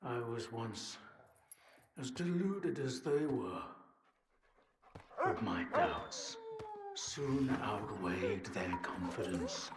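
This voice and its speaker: Slow, theatrical older scientist, echoing. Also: male voice, mid-range voice, huge pitch swings, crowd noise, full character voice. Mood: confessional, brooding. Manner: deliberate, theatrical, sardonic. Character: regretful male scientist, bitter, theatrical and brooding. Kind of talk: dramatic video game monologue. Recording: roomy echo with noise behind.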